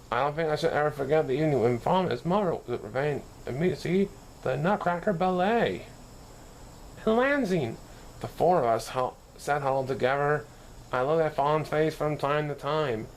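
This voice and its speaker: A man with a gravelly, fairly middling-pitched voice reads a children's story aloud. He narrates in storybook fashion and sometimes trips on a word and fixes it. There is faint noise behind him. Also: poor audio. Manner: occasional stumbles and self-corrections while reading. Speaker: story reader.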